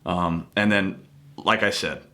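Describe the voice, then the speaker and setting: Man, lowish voice, conversational, earnest, casual motivational advice, stresses points by repeating them. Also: fairly even intonation, slow pace, pushed and bright. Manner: conversational, earnest, emphatic repetition of key points. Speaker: actor giving acting tips. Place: home office.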